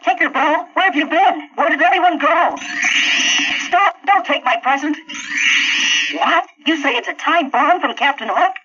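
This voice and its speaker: High bright plucky boy hero, cocky n eager, old muffled kids record. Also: normal speed. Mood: puzzled. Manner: exclamatory, boastful, eager. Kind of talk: theatrical children's story record acting. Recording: dull 1970s children's story record.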